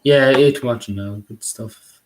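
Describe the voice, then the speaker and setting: A young man with a Derry accent from Northern Ireland, chatting casually on a live stream, rambling and apologetic with many halting restarts. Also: mid-range voice. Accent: Derry, Northern Irish. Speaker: livestreamer.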